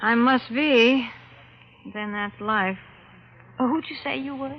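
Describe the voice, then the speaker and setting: An actress playing a tipsy, sardonic young wife in a 1940s radio drama. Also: female voice, a little high, slow. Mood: bitter, sardonic. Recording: muffled, faintly noisy old radio recording.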